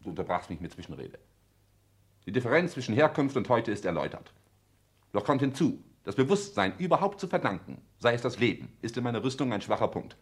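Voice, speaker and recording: An actor playing a cold, ambitious social climber in a 1960s German radio play, speaking in a clipped, pompous, formal and declamatory way. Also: male voice, mid-range voice, big pitch swings, fairly quick, close to an everyday voice. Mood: haughty, insistent.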